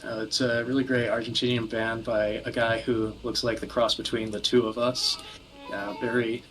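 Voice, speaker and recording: A man's voice: medium pitch, flat delivery, normal speed, a bit bright, soft background music. A man chatting casually as a podcast co-host, with dry, joking remarks. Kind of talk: casual banter over a game.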